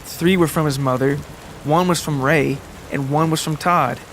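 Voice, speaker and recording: Even-toned male story narrator. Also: a little high, a little hiss or hum. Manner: measured, deliberate. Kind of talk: scripted literary narration. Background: music and rain.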